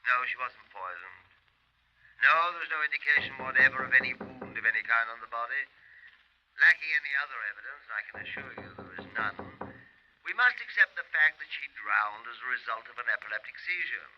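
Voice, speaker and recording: A man's voice: mid-range voice, expressive, fairly quick, a little hiss or hum, lightly acted. A man acting a long-suffering, precise police pathologist in a radio drama, speaking formally and emphatically. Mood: exasperated, precise. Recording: muffled old radio recording.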